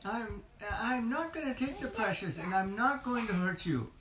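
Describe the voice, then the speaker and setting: A woman's voice: animated, normal speed, a crowd in the background, lightly acted. A woman voicing a wretched, pleading creature in a bedtime story. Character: Gollum, pleading, startled wretched creature with a high voice. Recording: roomy, faint background noise, low volume.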